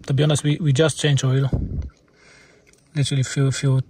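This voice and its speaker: A man with a Polish accent, a native Polish speaker, explaining car repairs informally as a mechanic in halting, non-native English. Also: mid-range voice, level tone, darkish tone, slight background noise.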